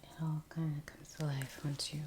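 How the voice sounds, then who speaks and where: A woman speaking in a soft, breathy near-whisper, murmuring an unhurried ASMR commentary as she paints. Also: sits low, little pitch movement, noisy background. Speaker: ASMR creator narrating her painting. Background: soft brush and click sounds.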